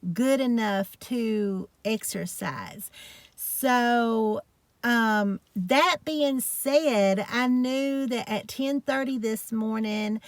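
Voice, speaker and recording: A woman's voice: fairly high pitch, no room sound. A woman with a strong South Georgia country accent, chatting casually in a folksy, rambling way as a vlogger. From South Georgia, United States. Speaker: health and fitness vlogger. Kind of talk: casual chat to camera.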